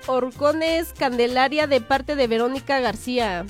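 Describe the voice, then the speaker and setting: Mexican woman, radio co-host, high voice, chatty, reads listener greetings, music in the background. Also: measured pace. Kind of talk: reading listener messages on radio.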